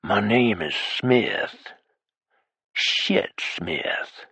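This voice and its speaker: A man's voice: animated, very slow, pushed and bright, husky, no room sound, full character voice. A man doing a menacing, whispering stranger. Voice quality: low whispering. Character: menacing male stranger, low ugly whisper.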